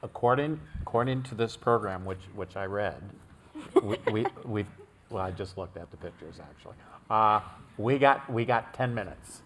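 A man's voice: on the low side, moderate pace, a bit bright. A man moderates a conference panel, rambling and cracking jokes as he goes. Faint noise sits under his voice. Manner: joking, rambling.

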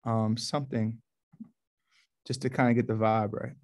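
Laid-back, halting man in his thirties. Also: mid-range voice, little pitch movement, darkish tone. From New Orleans, USA. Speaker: poetry reading curator. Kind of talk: casual, informal introduction. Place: small office, indoors. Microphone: video call, computer at arm's length.

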